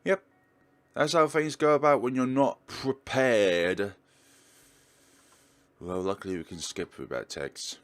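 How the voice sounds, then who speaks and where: A man's voice: mid-range voice, takes it slowly, pauses often. A man hosting a let's play, reading a visual novel aloud with casual commentary. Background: music.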